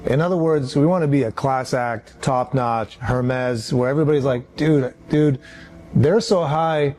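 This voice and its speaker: Man, casual conversation, animated, says "right?" a lot, mimics people. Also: mid-range voice, a little slow, soft background music. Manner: animated, swearing, acts out quoted voices; repeats "right?" and "like". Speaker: company chief executive.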